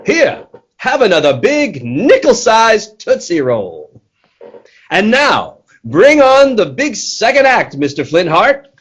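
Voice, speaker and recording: An actor playing a gushing old-time radio announcer selling candy with emphatic, folksy delivery. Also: male voice, high-pitched, wildly swooping pitch, takes it slowly, fairly bright tone, breathy edge, lo-fi sound, heavily acted. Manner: salesy, emphatic.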